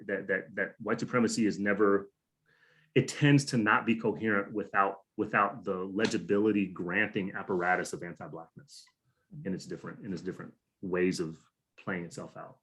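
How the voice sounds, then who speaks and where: A man's voice: on the low side, fairly bright tone. A man from the United States answering a question in a conversational academic talk, restarting phrases often and using theoretical vocabulary. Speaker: academic speaker.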